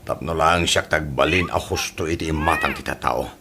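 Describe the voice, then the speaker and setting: A scheming politician with a very low voice, anxious about his bid for governor. It is Ilocano radio comedy drama acting, with clear noise behind the voice. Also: fairly even intonation, moderate pace, bright, forceful tone, exaggerated voice. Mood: troubled, regretful. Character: scheming, worried male politician running for governor.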